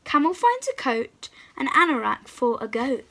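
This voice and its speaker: A young girl hosts a read-aloud for small children. Her voice is high and clearly bright. She addresses her listeners in an excited, encouraging way, as if guiding them through a picture book at home. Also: moderate pace, a little hiss or hum. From England. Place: small room at home, indoors.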